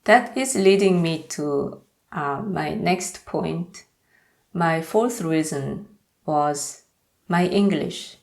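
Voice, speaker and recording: A woman's voice: low voice, extremely slow, creaky. A young woman with a Korean accent, talking to camera in a personal vlog with careful, hesitant delivery. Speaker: YouTuber.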